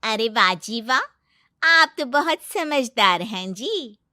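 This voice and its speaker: Cartoon village woman female performer, easily swayed, exclamatory, very high voice, unhurried drawn-out lines, dry sound. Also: expressive, pushed and bright, no echo, exaggerated voice. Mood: eager. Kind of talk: Hindi cartoon moral-story dialogue.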